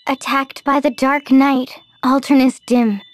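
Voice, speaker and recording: Girlish game heroine, extremely high voice, anxious, delicate, slow, music behind. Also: flat delivery, dry recording. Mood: recalling. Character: sweet, anxious, soft-hearted young girl of fantasy game. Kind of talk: video game voice acting.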